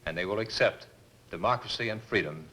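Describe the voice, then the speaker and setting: A man's voice: on the low side, brisk, sharp tone, poor audio. A man, an army colonel, answering questions in a formal, assured manner in a scripted interview.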